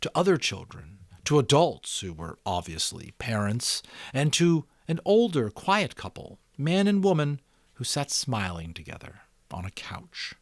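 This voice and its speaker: Expressive man narrating a novel, very lively intonation. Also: medium pitch, no echo, studio quality. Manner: expressive, deliberate phrasing with pauses. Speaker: amateur audiobook narrator. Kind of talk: dramatic storybook narration.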